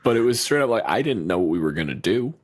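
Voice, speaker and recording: A man's voice: on the low side, big pitch swings, quick pace. A man co-hosting a podcast as its game master, chatting casually with lots of "like" and casual swearing. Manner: rambling, filler-heavy, swears casually.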